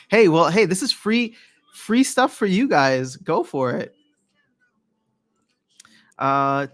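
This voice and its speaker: A man's voice: fairly high pitch, a bit bright, no room sound. A man chatting casually on a livestream, reading viewer comments and answering them, often restarting and correcting his phrases. His voice sounds a little worn from a lot of talking. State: voice slightly worn from use.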